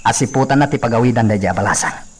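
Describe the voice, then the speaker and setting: A man's voice: medium pitch, fast talker, close to an everyday voice. A man playing a cocky, smitten young womaniser in an Ilocano radio drama. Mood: smug, scheming. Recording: faint noise and crickets behind.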